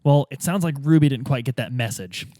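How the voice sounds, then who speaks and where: A man's voice: mid-range voice, a little fast, dark tone, no room sound, studio quality, natural voice. A man playing a dogged, exasperated police detective in a tabletop game, blunt, insistent and informal.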